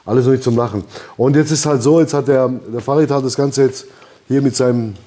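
A man's voice: medium pitch, steady tone, fairly quick, warm tone. A man in his thirties hosting a casual rap-scene chat on a sofa by a boxing ring, rambling and laughing often. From Albanian background. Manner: laid-back, rambling, laughs often, acts out quotes. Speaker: podcast host. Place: lounge by a boxing ring, indoors.

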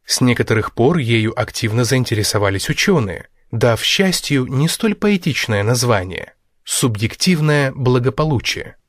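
A man narrating a nonfiction audiobook in measured, deliberate phrases with pauses. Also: medium pitch, no room sound, clean studio sound. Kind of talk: scripted narration of a nonfiction book.

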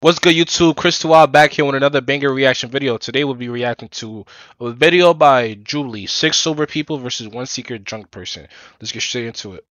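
A man's voice: mid-range voice, brisk, fairly bright tone, no room sound, dull audio. A young man hosting a reaction video, commenting casually from his room. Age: young adult. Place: bedroom streaming setup indoors.

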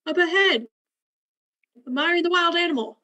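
A woman acting a calm, wryly curious expedition scientist in a radio play, measured and dry. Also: very high voice, lo-fi sound. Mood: amused.

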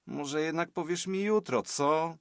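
A man's voice: fairly high pitch, a little slow, a bit bright, dry recording, clean studio sound, pitched above the performer's own voice. A man voicing a sarcastic, jittery girl in a storybook reading, scoffing and exclaiming.

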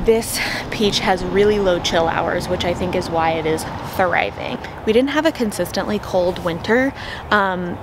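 A woman's voice: mid-range voice, vocal fry. Chatty American woman, noisy backyard recording. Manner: chatty, expressive, informal slang. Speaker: gardening vlogger. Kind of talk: casual vlog tour talk to camera. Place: outdoors in a suburban backyard.

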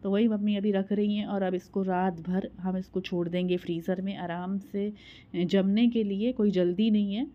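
A woman's voice: mid-range voice, steady tone, normal speed, dull audio. A woman in her thirties from India, narrating her home vlog in a voice-over, chatty and explaining each step with frequent filler sounds. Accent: Hindi. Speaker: vlogger.

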